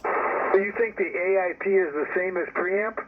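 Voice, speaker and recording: A man's voice: sits high, unhurried. A hesitant man in a casual technical chat as an amateur operator, heard over a radio transmission. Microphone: heard over a radio link. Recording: tinny narrowband radio with static noise.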